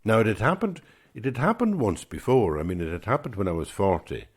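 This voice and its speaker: A man's voice: lowish voice, big pitch swings, fairly quick, breathy edge. A man in his sixties, a podcast host, talking alone in a reflective, musing way and often repeating words as he gathers his thoughts. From Ireland. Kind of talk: reflective solo podcast talk.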